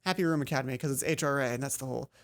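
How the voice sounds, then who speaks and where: A woman's voice: a bass voice, rapid-fire. A woman hosting a let's play, reading a visual novel aloud and acting out dialogue, with casual asides.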